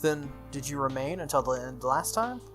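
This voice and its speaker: A man reads as an earnest teenage boy in a visual novel. He is hesitant and easily flustered, talking casually at a middling pitch over music. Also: expressive, moderate pace, a bit bright, lightly acted. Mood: earnest, unsure. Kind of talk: visual novel character read-aloud. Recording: music under the voice.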